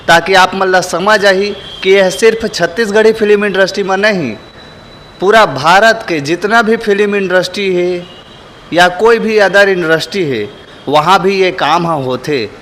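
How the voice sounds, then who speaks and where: Chhattisgarhi guy hosting a vlog, pretty high voice, earnest, some faint street noise behind. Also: fairly bright tone. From Chhattisgarh, India. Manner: earnest, addresses viewers directly as friends. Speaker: host and street interviewer. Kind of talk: vlog presenting with public opinion questions.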